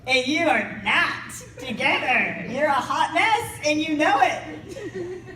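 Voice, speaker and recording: A woman giving a motivational goal-setting workshop, lively and playful, acting out inner doubts. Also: high voice, takes it slowly, crowd noise. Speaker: workshop speaker. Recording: echoey room, voice from a distance.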